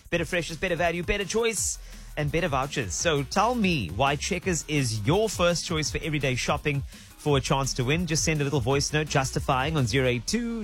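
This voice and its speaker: A man with a South African accent, a radio presenter reading an energetic, punchy promo. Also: a little high. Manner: energetic, punchy sales delivery. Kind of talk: upbeat radio promo read. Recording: music bed behind.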